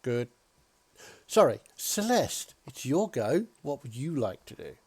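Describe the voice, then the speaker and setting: A man's voice: mid-range voice, very animated, slow, a little mellow, husky. A man running a tabletop game as dungeon master, dry and teasing as he calls turns and rolls.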